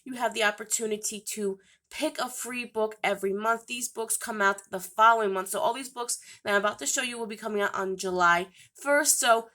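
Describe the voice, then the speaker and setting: A woman's voice: medium pitch, fairly quick, few pauses, bright, forceful tone. A woman hosting a book channel, sounding nasal from a cold, reading book descriptions aloud with stumbles and self-corrections.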